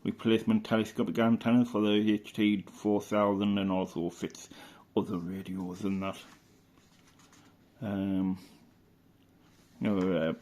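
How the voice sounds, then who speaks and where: A middle-aged man from England speaks with the local in a fairly low voice. He gives a casual, rambling commentary, hesitating and thinking aloud like a hobbyist showing off his collection. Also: little pitch movement, a little slow, long pauses, darkish tone. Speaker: hobbyist vlogger.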